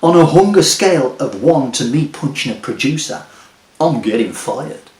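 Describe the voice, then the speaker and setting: A man voicing a brash, sardonic, impatient man who talks loudly. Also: mid-range voice, animated, moderate pace, natural voice. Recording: echoey room.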